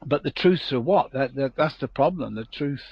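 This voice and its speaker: A man's voice: mid-range voice, animated, normal speed, muffled recording, slight background noise. A man in his seventies, a guest and retired detective, telling stories in a rambling way with many restarts. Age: 70s. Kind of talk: casual chat.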